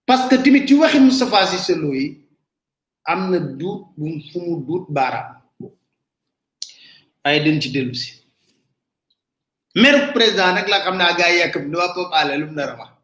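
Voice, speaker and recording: A man's voice: high voice, expressive, normal speed, long pauses, fairly bright tone, echoey room, low-quality recording. A man with a Senegalese accent giving emphatic, casual live political commentary straight to his viewers. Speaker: online commentator.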